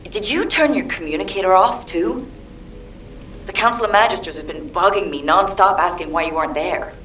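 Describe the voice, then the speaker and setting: A woman's voice: lowish voice, a little fast, fairly bright tone, natural voice. A woman playing a dutiful young female colleague in a video game, flustered and exasperated but respectful, with a hesitant manner. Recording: distant, echoey, with music behind.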